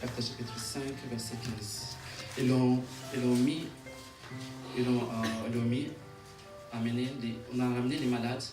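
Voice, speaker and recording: A male preacher with a medium-pitched voice, heard at some distance in an echoey room. He delivers a sermon, repeating and rephrasing his lines and punctuating them with amens, while music plays clearly behind him. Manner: halting when reading aloud, repeats and rephrases phrases; rhythmic repetition, calls for amens. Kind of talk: sermon with scripture reading.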